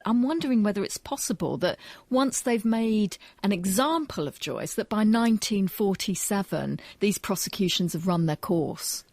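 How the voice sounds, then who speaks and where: A woman presents a documentary in a measured, questioning and explanatory way. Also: medium pitch, lively intonation, a little mellow, dry recording. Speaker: presenter, history professor. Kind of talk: documentary narration and interview.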